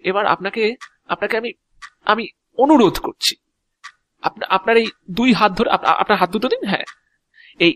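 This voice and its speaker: A man's voice: high voice, a bit bright, no echo, exaggerated voice. Man playing a flustered, exasperated householder, dry sound. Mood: weary, pleading. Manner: stammering, agitated, sarcastic. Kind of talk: audio drama acting.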